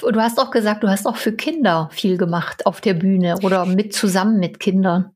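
Husky, chatty woman co-hosting a podcast. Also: mid-range voice, normal speed, darkish tone. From eastern Germany (Upper Lusatia). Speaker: podcast co-host. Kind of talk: casual interview chat.

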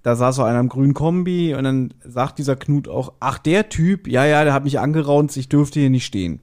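A man hosting a podcast, casually retelling a story in an animated way and acting out short quotes. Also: mid-range voice, a little fast. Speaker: podcast host. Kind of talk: casual chatty episode recap.

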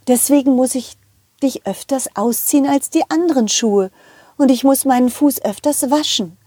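A woman's voice: sits high, moderate pace, mellow, husky, no room sound, studio quality. A woman voicing a motherly, loving centipede lady in a children's audio play, tender, coaxing and patient. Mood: patient, explaining.

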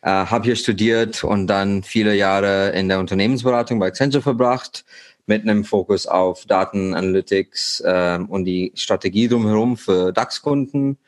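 A man in his thirties from India, a startup founder talking as a podcast guest with many pauses and fillers. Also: lowish voice. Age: 30s. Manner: hesitant, many fillers and restarts. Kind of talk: podcast interview.

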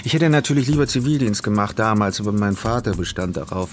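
An actor playing a vengeful man in an audio thriller, polite on the surface, deliberate and controlled. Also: lowish voice, animated, faint music behind, natural voice. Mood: cold menace. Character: vengeful man, polite and halting, coldly menacing. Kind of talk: naturalistic audio drama acting.

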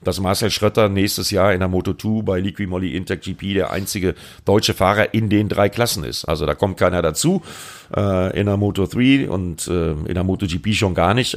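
A man with a fairly low voice co-hosts a motorsport podcast, explaining motorcycle racing news in an informed, steady way. His delivery is casual and conversational. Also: fairly quick. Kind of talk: casual chat.

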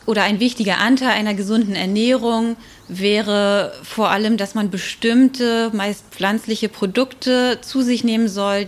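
A woman with a mid-pitched voice speaks as an expert guest in an interview. She explains in an informal, thoughtful way, often pausing on filled hesitations as she goes.

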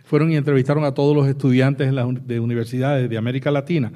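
A man from Puerto Rico speaking on a conference panel, telling anecdotes with pauses and restarts. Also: medium pitch, flat delivery, warm tone. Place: conference hall with audience.

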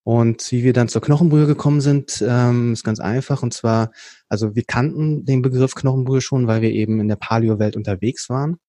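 A man from Berlin, a podcast guest, telling his story in a casual chat, often pausing with fillers and restarting sentences. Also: medium pitch, fairly even intonation, mellow, dry recording, clean studio sound. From Berlin, Germany. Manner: explains at length with frequent hesitation fillers and restarts. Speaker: podcast guest, company co-founder.

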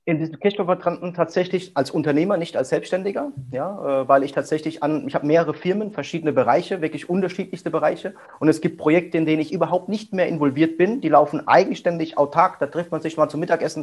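Fast-talking, energetic German businessman. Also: a little high, slightly rough. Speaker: guest entrepreneur. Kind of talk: casual interview talk.

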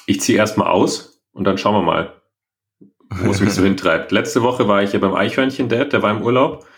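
Low-voiced man chatting casually. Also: steady tone, normal speed. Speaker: podcast co-host. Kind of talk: casual banter.

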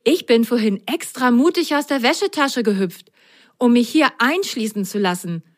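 A woman voicing an eager, adventurous young male sock in a children's story, chatty and excitable. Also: on the high side, moderate pace, pitched above the performer's own voice, natural voice. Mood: eager, proud.

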